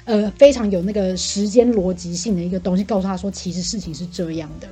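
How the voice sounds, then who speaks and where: A woman with a Taiwanese accent venting about work in casual chat, animated and indignant, mixing in English phrases. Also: medium pitch, fast, slightly rough, soft background music.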